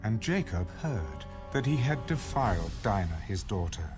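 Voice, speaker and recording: A man's voice: lowish voice, very animated, normal speed, music in the background. A man narrating a dramatized audio Bible as solemn, scripted storytelling.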